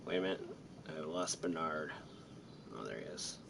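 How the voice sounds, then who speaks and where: A man's voice: extremely low pitch, animated, moderate pace, gravelly, noisy background. A man giving casual, rambling, self-deprecating commentary while playing a video game. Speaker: gaming streamer. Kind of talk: casual let's-play commentary.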